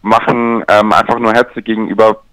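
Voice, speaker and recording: A man explaining things in a measured, structured way as a guest in a radio telephone interview. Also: on the low side, flat delivery, a bit bright, clear, resonant tone, no room sound, poor audio. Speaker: interview guest, LGBT pride event organizer. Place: remote, by telephone.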